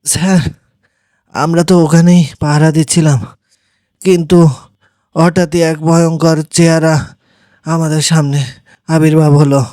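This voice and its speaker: A man's voice: a little high, level tone, measured pace, pauses often, warm tone, clean tone, no echo, studio quality, natural voice. A man voicing a deferential, easily spooked junior policeman on night patrol in a horror cartoon. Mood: alarmed pleading.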